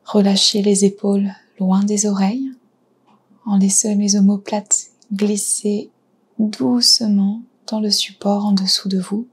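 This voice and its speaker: A woman guiding a yoga nidra relaxation, speaking softly and soothingly with pauses between short phrases. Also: mid-range voice, little pitch movement. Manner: soft, breathy, soothing delivery with pauses. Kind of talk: guided relaxation meditation.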